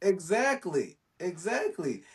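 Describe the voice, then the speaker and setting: A man's voice: high-pitched, big pitch swings, takes it slowly, distant mic. A man from Jamaica chatting casually and telling stories in a slangy way, often repeating his words and phrases. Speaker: podcast speaker. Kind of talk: casual chat, storytelling.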